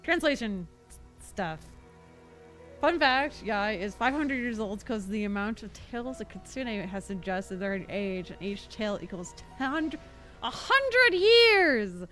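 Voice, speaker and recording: A woman streaming a game, chatting casually with her viewers in a rambling, expressive way. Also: mid-range voice, takes it slowly, soft background music.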